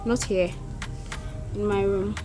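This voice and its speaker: A woman playing an insistent young woman who speaks in a low, firm and pressing way. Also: fairly even intonation, slow, natural voice. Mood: coaxing. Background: music.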